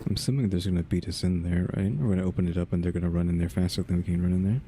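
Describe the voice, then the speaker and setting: A man's voice: low voice, fairly even intonation, racing, warm tone. A man giving casual commentary on a video game as he plays. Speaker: gaming video creator. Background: game music.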